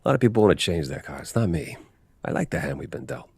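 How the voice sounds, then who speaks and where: A man playing a weathered, wistful fortune-seeking adventurer from a video game, with a gravelly voice. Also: very deep voice, very animated, fast talker, a little mellow, a little hiss or hum, full character voice. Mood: earnest, wistful. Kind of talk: re-enacting video game lines.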